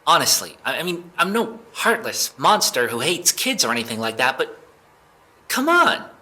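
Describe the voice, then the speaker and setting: A man playing an evasive, jittery young man being questioned by police, halting and defensive, in a naturalistic audio drama. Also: on the high side, wildly swooping pitch, bright, forceful tone, full character voice. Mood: irritated, defensive.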